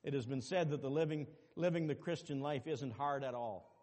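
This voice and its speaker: A man preaching a sermon as pastor, dropping to quiet asides and rising to loud, emphatic calls to the congregation. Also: mid-range voice, fairly quick. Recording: long reverb with faint music behind.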